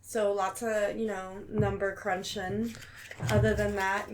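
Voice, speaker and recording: Slow-paced American woman, playful banter. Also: mid-range voice, level tone, very noisy. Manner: playful, teasing. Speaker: podcast co-host. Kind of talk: casual banter.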